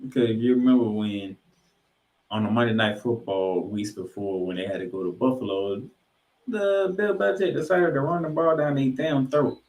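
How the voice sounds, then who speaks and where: A man's voice: medium pitch, lively intonation, normal speed, a little mellow. Guy cohosting a sports show, punchy and repeats stuff for effect, echoey sound. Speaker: sports podcast co-host. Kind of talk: sports talk, game preview banter. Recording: roomy, echoing sound.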